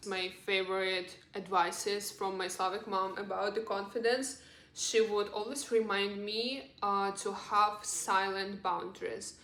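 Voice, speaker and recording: A woman's voice: mid-range voice, steady tone, a little slow, fairly bright tone. A roomy, echoing recording of a young woman with a Russian accent. She is a native Russian speaker with a mid-range voice, talking casually to her viewers like a lifestyle vlogger, in an earnest and encouraging way. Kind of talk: casual advice vlog to camera.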